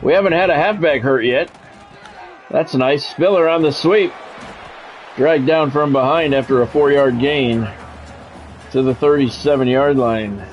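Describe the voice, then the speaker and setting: A man's voice: medium pitch, measured pace, pauses often, muffled recording, faint music behind. A man doing football play-by-play as a game streamer, in short clipped announcer-style calls mixed with casual chat to viewers.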